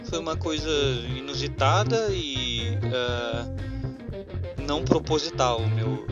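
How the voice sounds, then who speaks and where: A Brazilian man casually reminiscing in a hesitant way, pausing and repeating words, on a dull recording. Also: medium pitch. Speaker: podcaster. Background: plucked guitar music.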